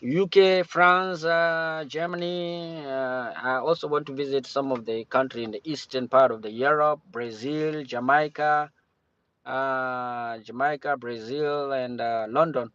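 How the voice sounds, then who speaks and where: A man from Cameroon chatting casually on a livestream, talking in a halting way with many restarts and fillers. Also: mid-range voice, steady tone, fairly bright tone, smooth, no echo. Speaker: livestream host. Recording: dull lo-fi stream audio.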